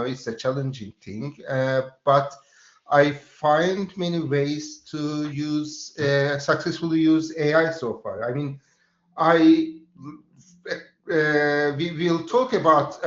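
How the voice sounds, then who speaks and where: A man with a Turkish accent, a freelance developer introducing himself on a webinar panel, talking with many hesitant pauses. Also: on the high side. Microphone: video call. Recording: roomy, dull lo-fi call audio.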